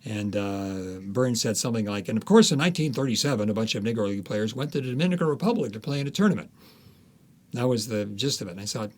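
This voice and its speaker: Male author guest, lowish voice, conversational interview storytelling, slips into animated quoted asides. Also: quick pace.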